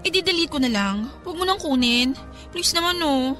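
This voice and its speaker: Casting: playful lovestruck young Filipina student, expressive and high, radio drama. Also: female voice, normal speed, faint music behind. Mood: flustered, protesting. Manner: expressive, stammering when flustered. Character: smitten young female photography student, playful, flustered, cheeky. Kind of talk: Tagalog radio drama acting.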